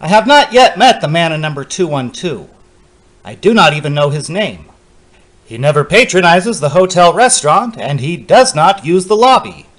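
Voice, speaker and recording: A man performing a lonely, fussy, self-pitying middle-aged academic in a dramatic horror story reading, with formal, measured diction. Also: a little high, sing-song, a bit bright, no echo. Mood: lonely, reserved.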